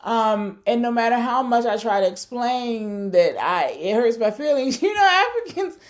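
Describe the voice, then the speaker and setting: A woman with a fairly high voice speaks in a reflective, searching way. She often pauses with fillers and corrects herself mid-sentence as she works through her ideas in an academic talk. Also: big pitch swings. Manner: thoughtful, searching, many fillers and self-corrections.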